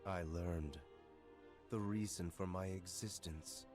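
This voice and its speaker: A man's voice: low voice, slow pace. A man playing a brooding, cold, arrogant young man drawn to darkness, solemn and disdainful, in a video game cutscene. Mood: guarded. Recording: music under the voice.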